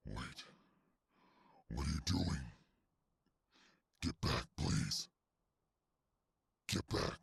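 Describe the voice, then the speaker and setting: A man playing an anguished, bitter, centuries-old cursed beast in a dramatic ASMR roleplay, with a gravelly, husky growl and a slow, brooding, halting delivery. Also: a bass voice, animated, dry recording, studio quality, heavily acted. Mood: menacing, agitated.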